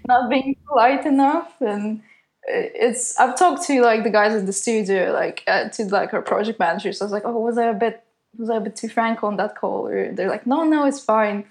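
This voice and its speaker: A young woman who is not a native English speaker, an animator answering interview questions in a casual, hesitant way full of fillers. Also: on the high side. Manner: hesitant, lots of "kind of" fillers. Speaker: animator at a studio.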